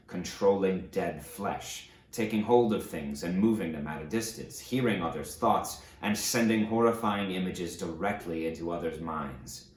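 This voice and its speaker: A man's voice: sits low, echoey room, lightly acted. A man playing an aged fallen tyrant, remorseful and grandiose, reading his confession in a solemn, dramatic audiobook style. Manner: solemn, dramatic, deliberate storytelling delivery.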